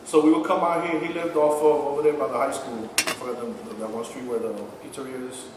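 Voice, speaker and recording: Casual male guest, relaxed rambling anecdotes, heard from a distance in a reverberant room. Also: medium pitch, fast. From born in Texas, raised in Colombia and New York. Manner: laid-back storytelling, laughs often, swears. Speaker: podcast guest. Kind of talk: casual chat. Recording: echoey room from far off, faint noise.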